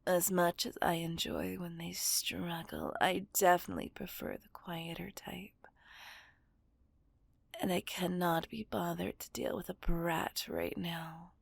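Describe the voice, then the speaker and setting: A menacing female kidnapper , acted by a woman, whispers low and slow in an ASMR villain roleplay. She is possessive and taunting, giving orders in a breathy, crackling hush. The sound is dry and very close to the mic. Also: animated, no room sound, heavily acted. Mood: smug, taunting. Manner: slow, commanding, mocking. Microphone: close microphone.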